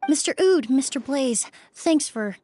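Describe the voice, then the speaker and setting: A woman's voice: sits high, expressive, a little slow, dark tone, slight background noise. An actress playing a courteous, composed and caring young woman in a video game. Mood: polite.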